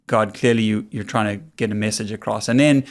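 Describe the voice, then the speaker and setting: A man in his forties with a South African accent, a pastor telling his story as a guest in a casual, relaxed podcast chat. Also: fairly low pitch, a little fast. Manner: relaxed, storytelling, laughs easily. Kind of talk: casual conversational interview.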